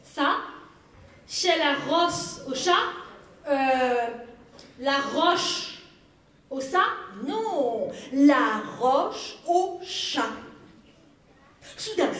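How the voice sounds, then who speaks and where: A woman's voice: high-pitched, lively intonation, drawn-out words, pauses often. A woman narrating a scripted tale as a storyteller, reading expressively with the odd stumble. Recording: roomy, distant mic, some background noise.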